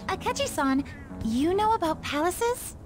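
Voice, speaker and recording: A woman's voice: very high voice, lively intonation, measured pace, music in the background. A woman voicing an earnest, polite teenage schoolgirl in a video game, a little hesitant. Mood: surprised.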